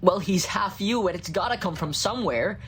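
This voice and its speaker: A man's voice: high voice, moderate pace, fairly bright tone, a little hiss or hum. A male voice actor playing an exasperated, sarcastic scientist in an ASMR audio roleplay, whiny and weary. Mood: agitated.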